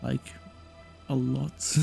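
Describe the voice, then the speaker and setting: A man's voice: mid-range voice, level tone, extremely slow, gravelly, dark tone. A man giving casual, puzzled, hesitant gameplay commentary, trailing off and repeating himself. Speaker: gaming video narrator. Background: game music.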